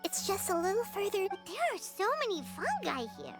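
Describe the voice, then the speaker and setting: A woman voicing a small, chatty childlike female companion in a video game, curious and earnest with a squeaky, expressive delivery. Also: a little fast, heavily acted. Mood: eager. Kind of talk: video game dialogue acting. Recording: dialogue over game music.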